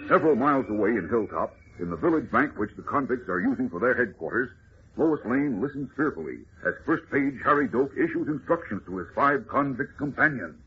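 An actor as a suspenseful narrator of an old radio serial, speaking with urgent drama. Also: male voice, mid-range voice, expressive, fairly quick, close to an everyday voice. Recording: muffled, noisy old radio recording.

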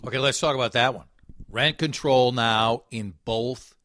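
Brief: Midwest male radio host, mid pitch, brisk, directs the panel, dry studio sound. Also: a bit bright, dry recording. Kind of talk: hosting a panel discussion.

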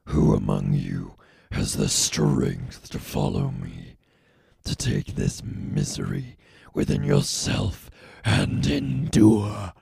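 A man playing a monstrous half-machine cult leader in an audio story, preaching solemnly and menacingly in a gravelly rasp. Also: extremely low pitch, very animated, takes it slowly, a little mellow, no room sound, studio quality, full character voice. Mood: solemn, commanding. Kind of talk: dramatic audiobook character acting.

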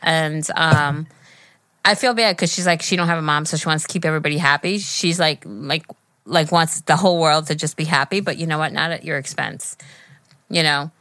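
American woman, middle-aged podcast co-host. Lowish, forceful bright voice, animated casual delivery. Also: a little fast, no room sound, studio quality. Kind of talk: casual podcast chat, reading listener letters aloud.

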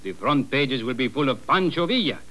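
An actor playing a vain, dreaded Mexican bandit leader with blustering broken English and a Mexican accent in an old radio drama. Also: male voice, medium pitch, expressive, moderate pace, sharp tone. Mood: boastful. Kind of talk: 1940s radio drama acting. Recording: vintage radio broadcast.

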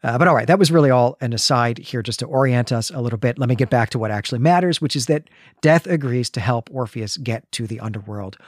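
A man's voice: mid-range voice, fast, no room sound. Articulate man hosting, dry close sound. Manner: articulate, explanatory, tags points with "right?". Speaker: podcast host. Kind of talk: literary discussion and story recap.

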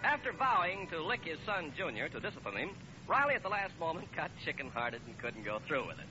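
High, animated male 1940s radio announcer. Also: fairly quick, music in the background. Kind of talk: 1940s radio scripted announcing and sales pitch. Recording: muffled, noisy old radio broadcast recording.

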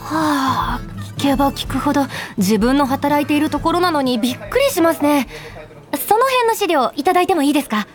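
A voice actress playing a young woman office worker in a radio drama, polite, expressive and cheekily blunt. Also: sits high, brisk, soft background music. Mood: earnest. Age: young adult. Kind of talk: anime-like radio drama acting with first-person narration.

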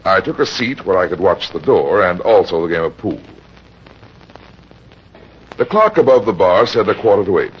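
An actor playing a weary, hard-boiled private detective, terse and grave, in the style of 1940s radio. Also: male voice, deep voice, big pitch swings, normal speed, pauses often, breathy edge, full character voice. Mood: brooding. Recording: dull old radio recording with music.

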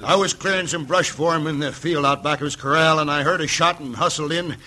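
Urgent, bright-voiced frontier townsman. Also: a little high, fairly even intonation, brisk. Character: alarmed frontier townsman, a parson, bringing grim news. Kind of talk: old-time radio western acting.

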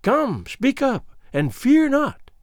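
A man voicing an ancient, cruel witch who speaks slowly with shrill mockery. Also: huge pitch swings, no echo, clean studio sound, full character voice. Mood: mocking. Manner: shrill, slow, mocking.

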